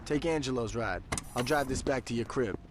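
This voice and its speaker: An actor playing a cool-headed, ruthless young gang lieutenant in a video game, terse, profane and calculating. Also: male voice, mid-range voice, moderate pace, in a large hall, noisy background, close to an everyday voice. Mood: cold.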